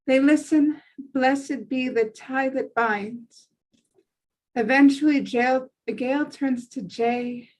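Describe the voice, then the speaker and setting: Woman narrator, slow pace, highish pitch, measured, reading screenplay directions. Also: a little mellow, poor audio. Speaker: stage directions reader.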